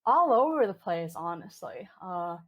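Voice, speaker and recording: A woman's voice: on the low side, big pitch swings, a little slow, dull audio. A woman in her twenties from Hong Kong, chatting with enthusiasm as a podcast guest, with plenty of fillers. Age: 20s. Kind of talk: casual interview chat.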